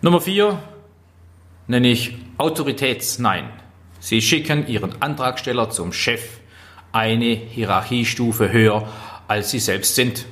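A man with a Swabian accent speaks as a workplace trainer. His voice sits at an average male pitch. He phrases his points deliberately and leans on key words for emphasis. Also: fairly bright tone. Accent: Swabian German. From Swabia, southwest Germany. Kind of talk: instructional talk on workplace skills.

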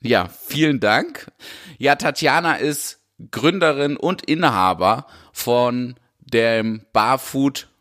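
A man's voice: mid-range voice, fairly bright tone. A man from Karlsruhe hosts an interview podcast and asks friendly strings of questions. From Karlsruhe, Germany. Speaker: podcast host. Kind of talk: casual interview hosting.

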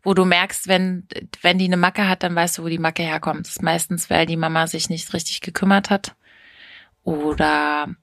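A woman's voice: fairly low pitch, level tone, normal speed, a bit bright, no room sound, clean studio sound. A young woman co-hosting a podcast, chatting casually in a frank, teasing way. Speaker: podcast co-host. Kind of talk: casual chat.

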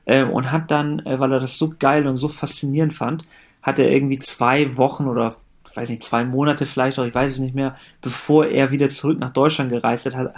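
A man in his late thirties from Germany, a company co-founder talking casually in a podcast interview, with many fillers and restarts. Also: mid-range voice. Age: 30s. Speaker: company co-founder and managing director.

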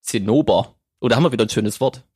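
A man's voice: mid-range voice, fast, a little mellow, slightly rough, no room sound. A man hosting a casual podcast, reading listener questions with teasing, dry humor.

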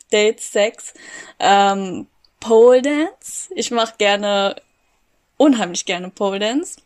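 Young female guest, unhurried, giggly, lots of ums. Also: medium pitch. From Berlin, Germany. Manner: giggly, hesitant, many fillers. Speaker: podcast guest. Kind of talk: casual podcast interview.

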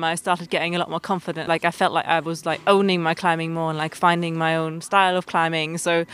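A woman's voice: on the low side, a bit bright, smooth. A woman from Germany answering casually as a festival participant, chatty and full of fillers. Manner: chatty, uses many fillers like "like".

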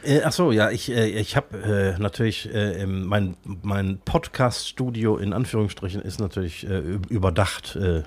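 A man's voice: on the low side, normal speed, few pauses. A man co-hosting a podcast with a stuffy nose from a cold, chatting hesitantly with lots of filler sounds from a cold tent studio. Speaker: podcast co-host. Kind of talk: casual chat.

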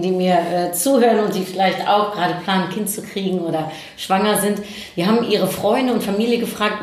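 A woman host of a casual interview podcast with a somewhat low voice. She asks long, winding questions full of hesitations. The room echoes heavily around her. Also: darkish tone. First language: not German. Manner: hesitant, many fillers, laughs while asking.

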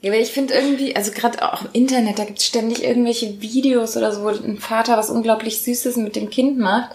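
A woman in her thirties with a slightly hoarse, rough voice from a cold, chatting casually as a podcast co-host. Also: fairly high pitch, steady tone, quick pace, slight background noise. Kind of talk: casual conversation.

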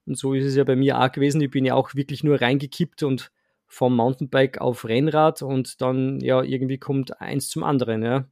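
A man's voice: mid-range voice, steady tone, normal speed, creaky, darkish tone, no echo. Hesitant man with a dry voice. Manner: hesitant, many fillers and restarts, self-deprecating humor. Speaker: podcast guest, amateur cyclist. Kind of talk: casual podcast chat.

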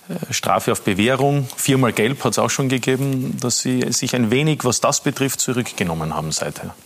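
A man with an Austrian accent hosting a sports talk show, asking measured questions with an easy, friendly laugh. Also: mid-range voice. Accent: Austrian German. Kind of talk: studio interview. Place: TV studio.

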